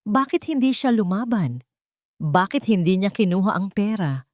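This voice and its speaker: A woman narrating a scripted dramatic story in a solemn, emotive, measured way. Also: medium pitch, big pitch swings, darkish tone, no echo, clean studio sound.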